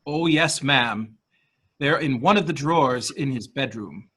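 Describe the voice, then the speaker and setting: A man's voice: medium pitch, measured pace, bright, forceful tone, husky. A man playing a deferential, nervous manservant in a murder mystery reading, obsequious and hesitant. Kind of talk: dramatic reading.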